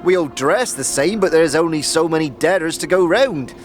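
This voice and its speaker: A man's voice: on the high side, lively intonation, moderate pace, soft background music, lightly acted. A man playing a cheery, chatty ragged corpse collector in an audio drama, upbeat, rambling and slangy. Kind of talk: audio drama character acting.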